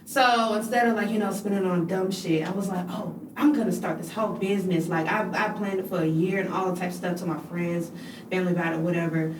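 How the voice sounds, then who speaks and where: A woman's voice: on the low side, steady tone, fairly quick, distant mic. A blunt woman who swears freely as she tells her story on a podcast. She sits away from the mic in an echoey room, with a faint hiss behind her. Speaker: podcast guest. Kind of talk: casual chat.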